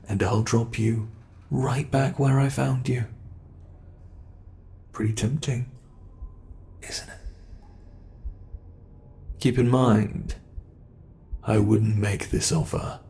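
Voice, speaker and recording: A man playing a sadistic monster in an ASMR horror roleplay, taunting captive prey with slow, savoring menace and a gravelly, creaky voice. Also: mid-range voice, mellow. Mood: smug menace. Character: sadistic male monster gloating over captive human prey.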